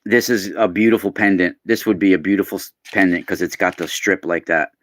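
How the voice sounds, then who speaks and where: A man's voice: sits low, a little fast, dry recording. A man in his forties with a youthful-sounding voice, casually chatting to viewers by name while hosting a live unboxing. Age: 40s. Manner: chatty, talks to viewers by name, bursts of excitement. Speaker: live stream host.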